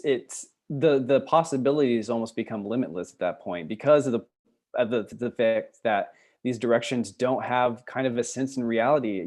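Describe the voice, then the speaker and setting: A man speaking as a theater designer on a casual panel, explaining his thoughts in a halting, considered way. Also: mid-range voice, darkish tone.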